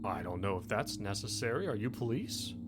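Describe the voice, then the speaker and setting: A man playing an innocent, worried older camper in a tabletop game, halting and folksy with small exclamations. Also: fairly low pitch, big pitch swings. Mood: wary. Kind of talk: improvised tabletop roleplay.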